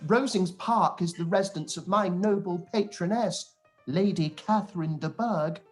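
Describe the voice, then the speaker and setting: A man's voice: high-pitched, measured pace. Guy playing a pompous fawning clergyman, very formal, period radio play, music behind him. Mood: pompous pride. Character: pompous, fawning clergyman cousin, boastful of his patroness. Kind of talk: live-read period radio play acting.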